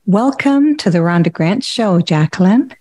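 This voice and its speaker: Measured woman host, dry mellow voice. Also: medium pitch, expressive, dry recording. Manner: measured, formal reading. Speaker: podcast host. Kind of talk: scripted introduction and interview.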